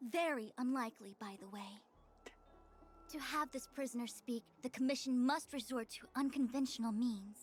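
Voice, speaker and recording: Game role: proud chief diviner played by a woman, high voice, imperious and formal, measured diction. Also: close to an everyday voice. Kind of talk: video game voice acting.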